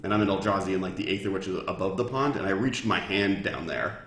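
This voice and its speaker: A man's voice: sits low, quick pace, a bit bright. A man in a casual, explanatory chat, speaking as a guest who explains game lore. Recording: echoey room.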